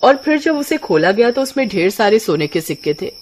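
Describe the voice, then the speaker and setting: A woman's voice: mid-range voice, big pitch swings, brisk, a little hiss or hum. A woman narrating a scripted story as a storyteller.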